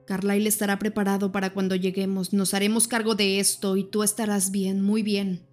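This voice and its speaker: Tense young male vampire read by a woman, protective, low urgent murmur, flat, audiobook. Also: fairly quick, soft background music, lightly acted. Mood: soothing.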